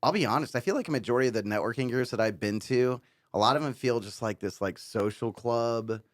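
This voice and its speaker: A man with an average-pitched voice takes part in a casual podcast discussion as co-host. His way of talking is informal and candid, like someone giving a frank opinion. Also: fast talker.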